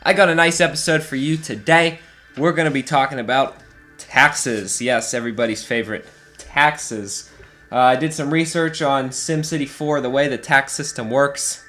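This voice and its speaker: A man's voice: mid-range voice, steady tone, normal speed, bright, forceful tone, faint music behind. Stuffed-up man chatting over gameplay. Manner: chatty, laughs often, thinks aloud. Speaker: let's play host. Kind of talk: casual gameplay commentary.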